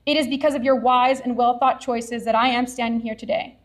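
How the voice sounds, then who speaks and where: Brief: teacher from Texas, woman, high bright-toned voice, sincere prepared speech at a podium. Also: fairly even intonation. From Edinburg, Texas. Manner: earnest, heartfelt, measured. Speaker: science teacher accepting an award. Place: award ceremony venue.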